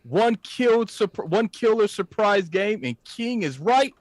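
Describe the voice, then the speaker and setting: A man co-hosting a podcast, reading out live chat messages in an animated, informal way. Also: sits high, a little slow. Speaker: podcast co-host.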